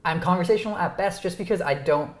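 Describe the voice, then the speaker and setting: A woman's voice: lowish voice, fast, roomy sound. A woman whose first language was Romanian, hosting a casual dinner conversation at home, thoughtful and self-deprecating. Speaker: host. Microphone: lapel mic.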